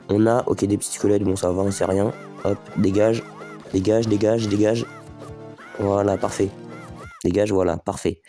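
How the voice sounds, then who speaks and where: A man with a slightly stuffy voice from a cold talks his way through a video game, speaking straight to his viewers and thinking aloud. His voice sits low and warm. Faint music plays behind him. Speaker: gaming video host. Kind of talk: casual let's play commentary.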